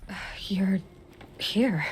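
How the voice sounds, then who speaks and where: An actress playing a determined, guarded young woman hero in a video game, with a breathy voice. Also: medium pitch, very slow, slight background noise. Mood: wary. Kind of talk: video game cutscene acting.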